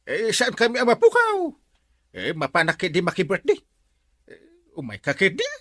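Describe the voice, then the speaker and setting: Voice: mid-range voice, huge pitch swings, normal speed, fairly bright tone, no room sound, studio quality. Actor doing a carefree party loving village captain, ilocano comedy drama, voice swoops all over, chuckly and exclaiming. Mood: carefree, defensive. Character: jolly, carefree village captain who loves parties.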